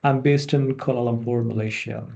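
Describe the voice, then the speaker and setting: A man from India presents over a video call in a loose, relaxed way, leaning on fillers and restarts. His voice is gravelly and mellow, with a husky edge. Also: mid-range voice, normal speed. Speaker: product manager presenting. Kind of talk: online conference presentation.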